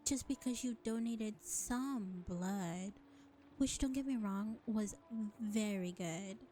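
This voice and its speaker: A woman's voice: medium pitch, slow, mellow, a little hiss or hum, natural voice. A woman voicing an exasperated, sarcastic vampire in an audio roleplay, drawling with mockery and scorn. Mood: mocking. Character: sarcastic, exasperated, foul-mouthed female vampire.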